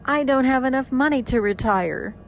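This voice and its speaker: An actress voices an aggrieved woman illusionist bent on revenge in a radio mystery. Her fairly high voice moves dramatically up and down, with a faint noise behind it. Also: expressive, moderate pace. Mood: hurt, indignant. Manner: theatrical, aggrieved. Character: wronged, vengeful magician's female stage partner with secret powers.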